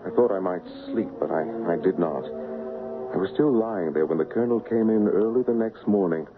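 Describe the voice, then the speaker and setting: An actor playing an honorable, lovesick stand-in king in a radio drama, speaking and narrating in an earnest, formal, measured way. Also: low-pitched, natural voice. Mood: sorrowful. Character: lovesick honorable English royal stand-in, noble and troubled. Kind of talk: radio drama acting and first-person narration. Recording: muffled vintage radio broadcast, music behind.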